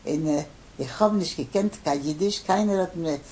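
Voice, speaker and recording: An older man who grew up in Poland, his first language Polish, answering interview questions haltingly with many pauses and fillers. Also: on the high side, a little mellow. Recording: faint background noise.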